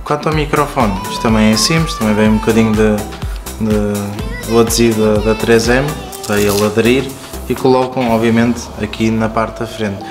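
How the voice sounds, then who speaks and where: A man's voice: lowish voice, fairly even intonation, moderate pace. Guy from a product review, european portuguese accent, explaining stuff casually, echoey room, music playing behind. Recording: roomy echo with music behind. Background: background music.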